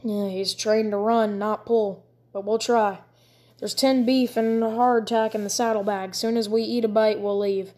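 Stubborn backwoods father, rough plain speech, hardened, storybook, voiced by a woman. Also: medium pitch, steady tone, unhurried, dark tone, close to an everyday voice. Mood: resolute. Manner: plain rough country talk.

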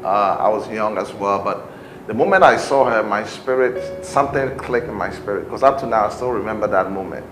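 A man's voice: on the low side, a little fast, over music. Ghanaian man telling his story, roomy sound. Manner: relaxed storytelling with many fillers. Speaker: guest, bishop.

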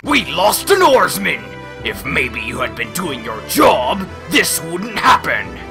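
A man's voice: fairly high pitch, huge pitch swings, takes it slowly, over music, heavily acted. A man voicing an exasperated, snapping young captain in a fan-made parody dub. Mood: annoyed.

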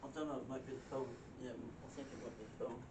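A man on a ghost hunt, jokey and chatty as he asks questions out loud to whatever might be in the room. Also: medium pitch, steady tone, fast talker, recorded from a distance. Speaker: paranormal investigator. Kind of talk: casual chat, calling out to spirits. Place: stone room in an old mine building at night. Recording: echoey room, off-mic, noisy hiss.

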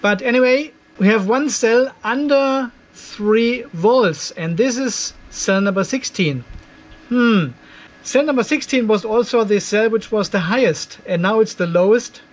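An older man gives casual, chatty technical commentary for a video, explaining things informally step by step. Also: high-pitched. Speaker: host of off-grid DIY channel. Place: cluttered garage workshop, indoors.

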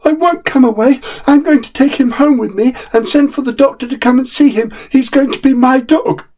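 A man's voice: extremely high pitch, normal speed, darkish tone, pitched above the performer's own voice. A man reading aloud and voicing a small, spoiled, tearful rich boy who pleads and insists like a child. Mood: insistent.

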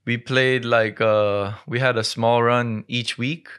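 A young man in his twenties from Hong Kong talks as a podcast guest. His voice is smooth and clear at a middling pitch, and he chats in a relaxed, slangy way. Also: slow. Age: 20s. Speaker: basketball player, podcast guest. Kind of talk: casual chat. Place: small dim podcast studio indoors. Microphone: close studio mic on boom arm.

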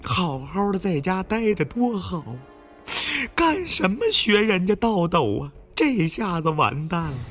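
A man voicing a cowardly older adviser who wails in a drawn-out lament for an audiobook. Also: high-pitched, very animated, fairly bright tone, soft background music, pitched above the performer's own voice, heavily acted. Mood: wailing despair. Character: cowardly, whining older male scholarly adviser.

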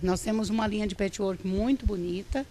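A woman's voice: medium pitch, a little fast, dark tone, a little hiss or hum. A middle-aged woman who organizes a craft association, interviewed for TV news at an indoor craft fair on a handheld mic, talking in a rambling way with many restarts. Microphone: handheld reporter mic.

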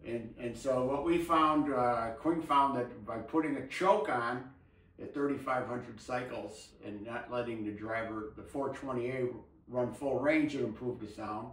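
Older man, halting casual explainer, technical co-host, roomy echo, distant mic. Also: medium pitch, fairly bright tone. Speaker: co-host of an audio hobby show. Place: indoor room with table and blinds.

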